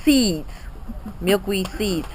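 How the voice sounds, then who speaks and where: A woman's voice: medium pitch, lively intonation, drawn-out words, slight background noise, poor audio. A middle-aged or older woman, a grandmother, casually coaxing a child through reading with encouragement, exclamations and repeated prompts. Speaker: grandmother coaching a child to read.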